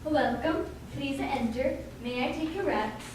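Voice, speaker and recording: A woman's voice: sits high, a little slow. Formal house servant, played by a woman, very echoey. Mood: formal, welcoming. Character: formal, polite house servant greeting party guests. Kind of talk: amateur radio play acting. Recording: very echoey room, distant mic, noisy.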